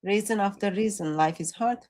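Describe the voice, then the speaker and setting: A woman's voice: medium pitch, brisk, a little mellow. A woman co-hosting a live stream in casual chat. She teases and jokes with a dry, playful manner. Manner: teasing and playful, dry humor.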